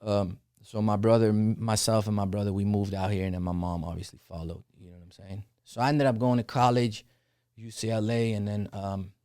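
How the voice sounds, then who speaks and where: A young man from the Netherlands speaks in a fairly low, unhurried voice as a guest on a podcast. His talk is loose and casual, full of "you know what I'm saying." The mic is close and dry. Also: flat delivery, darkish tone, no room sound. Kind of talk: casual interview conversation.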